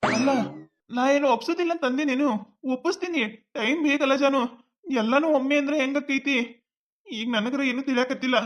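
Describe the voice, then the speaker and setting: A woman's voice: sits high, fairly quick, natural voice. A woman playing a devoted young boyfriend. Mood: insistent. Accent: North Karnataka Kannada. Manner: coaxing, reassuring.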